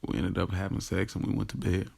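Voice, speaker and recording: An actor playing a lovestruck young man confiding his secret romance in a casual, slangy audio drama monologue, with a gravelly, husky voice. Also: low-pitched, little pitch movement, fast, darkish tone, exaggerated voice. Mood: smitten, relaxed. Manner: informal, slangy, acts out quoted dialogue. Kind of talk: first-person audio drama monologue, conversational storytelling.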